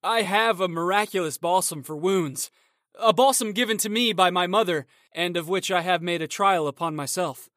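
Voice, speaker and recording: A man's voice: high voice, moderate pace, fairly bright tone, dry recording, clean studio sound. A man reading the part of a young, polite swordsman newly arrived in the city, earnest and courteous. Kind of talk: audiobook character reading.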